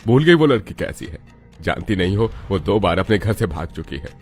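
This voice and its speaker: Stern cartoon patriarch, male actor, threatening and scolding, lowish register, music bed. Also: very animated, normal speed. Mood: angry, stern. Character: stern, proud wealthy father of a grown daughter. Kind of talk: cartoon family melodrama.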